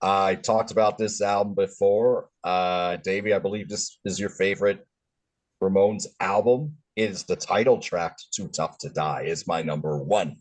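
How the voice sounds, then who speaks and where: Lower voiced guy gushing about a heavy punk track, laid back. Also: normal speed. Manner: relaxed, fond enthusiasm. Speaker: guest music fan. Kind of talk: casual music discussion.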